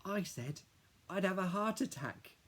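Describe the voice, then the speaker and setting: A man voicing an excitable, mischievous little boy with a high, squeaky voice. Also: a little slow, exaggerated voice. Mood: excited.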